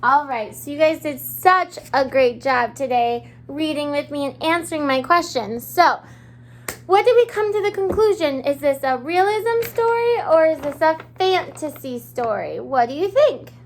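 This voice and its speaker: A woman kindergarten teacher giving an interactive read-aloud, speaking expressively and encouragingly in simple phrases, with questions and pauses for children to answer. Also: high voice, expressive, slight background noise.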